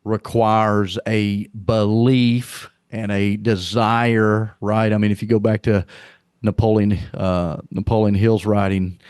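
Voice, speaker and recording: Middle-aged Southern man, low-pitched, earnest and rambling, dry close recording; podcast guest. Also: level tone, slow, a little mellow, smooth, no room sound. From Arkansas, US. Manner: rambling, repeats words, earnest. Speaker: podcast guest, leadership coach. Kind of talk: casual conversational interview.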